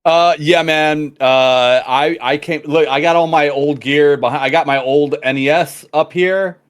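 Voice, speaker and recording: A man's voice: on the high side, fairly even intonation, a little slow, clear, resonant tone. A man in his late forties chatting casually on an interview, laid-back and slangy. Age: 40s. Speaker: co-guest.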